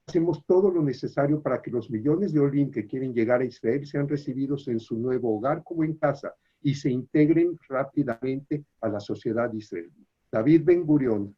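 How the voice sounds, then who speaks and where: Husky-voiced man moderating a webinar. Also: medium pitch, dark tone, lo-fi sound. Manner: formal, measured reading with hesitant fillers when improvising. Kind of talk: reading an introduction, then moderating questions. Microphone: video call.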